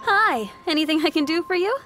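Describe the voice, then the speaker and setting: A woman's voice: very high-pitched, expressive, a little fast, music in the background. A woman voicing a courteous, shrewd ore merchant in a video game, a little flustered. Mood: polite. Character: polite female ore merchant, shrewd and businesslike.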